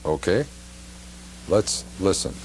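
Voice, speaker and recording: A man with a low, lively voice hosts in a casual, folksy style. He interjects often and feels his way through phrases, with noticeable noise behind him. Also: slow pace. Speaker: TV show host. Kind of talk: casual chat with a guest.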